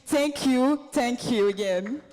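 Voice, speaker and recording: A high, slow-spoken woman with an Ivorian accent addresses a convention crowd in earnest, spaced phrases. Her words carry through a long, echoing hall reverb. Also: a little mellow, slight background noise. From Côte d'Ivoire. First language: Baoulé. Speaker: teacher and singer giving a talk. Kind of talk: prepared speech at a convention. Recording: echoey hall.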